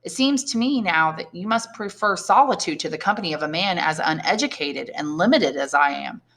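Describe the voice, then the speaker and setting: A woman's voice: fairly low pitch, lively intonation, a little fast, a bit bright. A woman reading the part of an earnest, naive young male prisoner in candid, emphatic phrases. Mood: humble.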